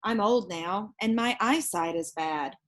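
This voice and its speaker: A woman's voice: mid-range voice, measured pace, a bit bright, natural voice. A woman reading the part of a kindly, welcoming old man, a painter and gardener, in a children's storybook. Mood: wistful.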